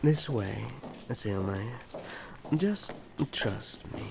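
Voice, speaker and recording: A man playing a gentle, courteous young elf prince, soft-spoken and reassuring, in an intimate fantasy ASMR roleplay. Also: on the low side, big pitch swings, drawn-out words, vocal fry, noisy background, exaggerated voice.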